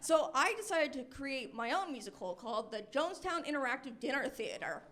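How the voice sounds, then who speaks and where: High-voiced young American woman doing stand-up. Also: very animated, fairly quick, fairly bright tone. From Los Angeles, United States. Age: 20s. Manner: self-deprecating, chatty storytelling. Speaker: stand-up comedian. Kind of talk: live stand-up comedy routine. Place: comedy club stage with live audience. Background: audience laughter.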